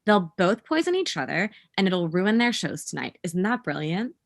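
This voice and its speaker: A woman's voice: fairly low pitch, big pitch swings, moderate pace. A woman playing a brash, spiteful young schemer in a comedy table read, blunt and sardonic. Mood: scheming.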